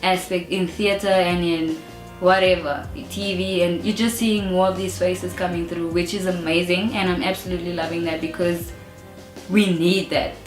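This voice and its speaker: A woman's voice: on the low side, steady tone, normal speed. Woman, young, South African accent, rapper in an interview, outspoken, emphatic, echoey room, faint music behind. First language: English. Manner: outspoken and emphatic, with frequent "you know". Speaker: rap artist being interviewed. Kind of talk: casual interview talk.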